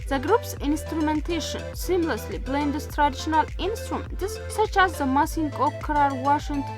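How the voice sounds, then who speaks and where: High-voiced woman reading news narration. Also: over music. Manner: measured, formal. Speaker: news reporter.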